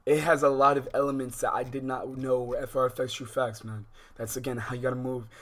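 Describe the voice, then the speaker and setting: A man with an American accent hosts a casual livestream in a medium-pitched voice. He speaks in heavy slang with plenty of swearing, wandering through asides as he addresses his viewers. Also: little pitch movement, fairly quick. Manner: slangy, profane, rambling with frequent asides. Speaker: livestream host.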